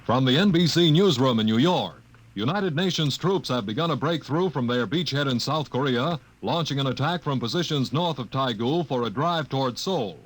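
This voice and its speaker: Formal mid-pitched radio newsman. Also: animated, normal speed, poor audio. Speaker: newsroom announcer. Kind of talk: 1950s radio news bulletin.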